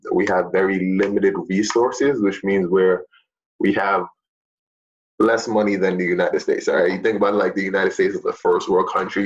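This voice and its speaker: Deep-voiced teacher chatting with his students. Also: dull audio. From Jamaica. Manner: relaxed, chatty, many fillers. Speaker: fitness teacher. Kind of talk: casual talk to students.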